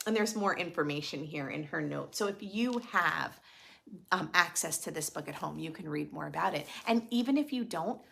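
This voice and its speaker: A woman hosting storytime, reading a picture book aloud to preschoolers in a gentle, expressive way and explaining it simply. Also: deep voice, normal speed, vocal fry. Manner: gentle, expressive, addressing young children with questions. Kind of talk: children's read-aloud with simple explanations and questions.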